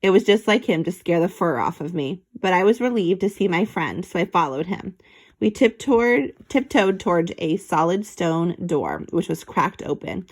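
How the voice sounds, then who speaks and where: Animated woman reading a children's story. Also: lowish voice, moderate pace. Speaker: storybook reader. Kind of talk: expressive read-aloud narration of a children's book.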